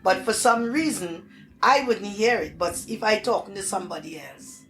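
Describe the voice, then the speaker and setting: A woman's voice: medium pitch, moderate pace, fairly bright tone. An older woman from Trinidad with a thick Trinidadian accent, talking plainly and emphatically in a casual family conversation. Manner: plain-spoken, emphatic, broken Caribbean grammar. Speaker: grandmother guest.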